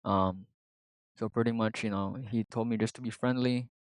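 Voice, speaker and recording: Young man, Filipino accent, lowish voice, rambling casual vlogger, dry close sound. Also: fairly even intonation, moderate pace, smooth, no room sound. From Philippines. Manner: rambling, many fillers and restarts. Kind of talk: casual vlog monologue.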